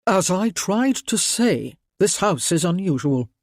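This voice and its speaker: Calm polite lord, narrator doing him, slow and dry. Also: medium pitch, no echo. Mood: calm, measured. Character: courteous gentleman lord, composed.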